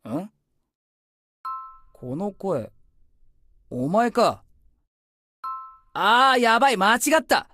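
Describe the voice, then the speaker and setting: An actor playing a brash, proud young man, bossy and easily flustered, grumbling in rough casual speech in an anime-style drama. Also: on the high side, fairly bright tone. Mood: panicked.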